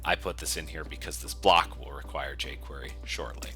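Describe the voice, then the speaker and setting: A man's voice: rumbling low, fairly even intonation, moderate pace, bright, forceful tone, in a whisper, soft background music. A man giving a coding tutorial, explaining each step casually and methodically in an unscripted screencast style. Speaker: software developer giving a tutorial.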